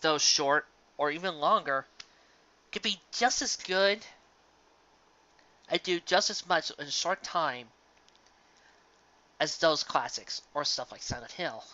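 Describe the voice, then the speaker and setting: Earnest fan-fiction reader: unhurried, emphatic, sentimental commentary with dramatic pauses, muffled lo-fi home sound. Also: mid-range voice, pushed and bright. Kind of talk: earnest spoken commentary to an audience. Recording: dull lo-fi home recording.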